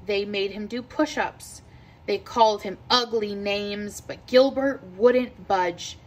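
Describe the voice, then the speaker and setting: A woman reading a children's picture book aloud with expressive, deliberate storytelling delivery. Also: mid-range voice, low-quality recording. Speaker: read-aloud teacher.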